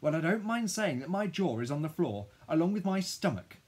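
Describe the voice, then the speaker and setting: A man voicing a grieving, dramatic ten-year-old boy who tells his own story in an expressive, husky storybook voice. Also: lowish voice, a little slow. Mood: indignant, distraught. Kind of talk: first-person children's audiobook narration.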